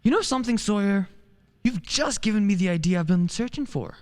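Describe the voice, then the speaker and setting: A woman plays a well-meaning male lawyer in a radio drama, earnest and loyal. The delivery swings widely in pitch, in a reverberant hall. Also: animated, a little fast, darkish tone, natural voice. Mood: cool, confident. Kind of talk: radio play acting. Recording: echoey hall.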